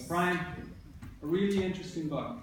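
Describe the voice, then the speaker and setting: A man's voice: high-pitched, expressive, normal speed. A man teaching second grade, leading a read-aloud discussion, prompting pupils and often repeating their answers and his questions. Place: classroom. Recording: echoey, noisy room, distant mic.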